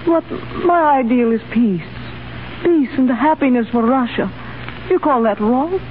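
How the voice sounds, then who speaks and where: An actress playing an earnest young Russian woman in a 1930s radio drama, speaking softly and formally. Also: sits high, expressive, a little slow. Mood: defiant. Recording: tinny hissy 1930s radio broadcast.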